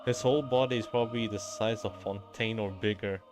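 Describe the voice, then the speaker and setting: A man's voice: fairly low pitch, fairly even intonation, fairly quick. A man giving casual, informal and reactive gameplay commentary as a streamer, mildly profane. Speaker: gaming streamer. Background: faint game music.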